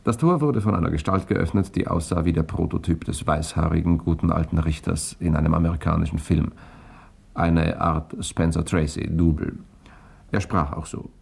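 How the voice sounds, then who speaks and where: An actor playing a cool, wry journalist in a 1960s German radio crime serial, with a gravelly, husky voice. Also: male voice, sits low, steady tone, moderate pace, a little mellow, heavily acted. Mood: wry narrating. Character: cool, wry male journalist telling his own crime story. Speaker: lead actor and narrator.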